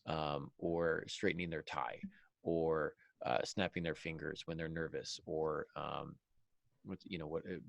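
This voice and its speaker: A man's voice: a bass voice, steady tone, a little slow, creaky, no echo, muffled recording. A man hosting a podcast panel in an informal, casual discussion style. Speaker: podcast host.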